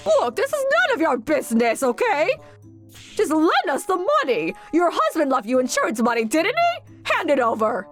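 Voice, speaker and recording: A woman's voice: sits high, huge pitch swings, normal speed, soft background music, pitched above the performer's own voice. A woman voicing a brazen, whiny middle-aged sister-in-law in a manga dub, defensive, snippy and demanding. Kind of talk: exaggerated manga dub acting.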